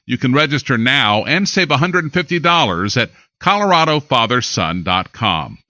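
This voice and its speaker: An American man delivers scripted news with dramatic emphasis. His voice is bright and forceful, swinging widely in pitch, recorded dry in a studio. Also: very animated, moderate pace, no echo. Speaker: newscaster.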